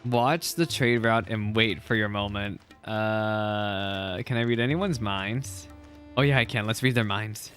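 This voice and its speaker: A man's voice: on the low side, measured pace, fairly bright tone, soft background music. A man giving casual reaction commentary over a video game, chatting and reacting as the dialogue plays. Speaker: game streamer.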